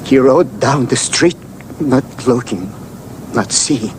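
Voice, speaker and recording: A poor, grieving father pleads humbly. He speaks very slowly and haltingly in a mid-pitched voice, over clear background noise, as in a television drama. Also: animated. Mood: sorrowful, pleading. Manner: slow, halting, sorrowful.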